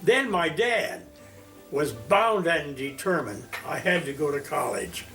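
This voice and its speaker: A man of one hundred from Indiana, recalling his life as a teacher in a slow, storytelling interview. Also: faint music behind. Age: 100s. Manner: slow, storytelling, animated pitch swings. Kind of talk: reminiscing interview.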